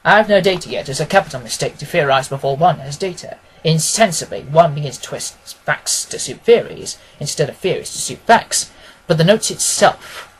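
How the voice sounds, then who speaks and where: Male reader as self-assured storybook detective, clipped and didactic, lively swooping pitch, slightly rough tone, gently mocking. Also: normal speed, poor audio. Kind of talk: dramatised audiobook reading.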